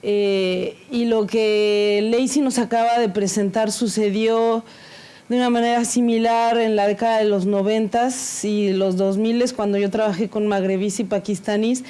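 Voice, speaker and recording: A woman speaking earnestly at an academic conference panel, halting and full of fillers, openly nervous. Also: mid-range voice, fairly even intonation, dark tone, clear, resonant tone. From Mexico. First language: Spanish.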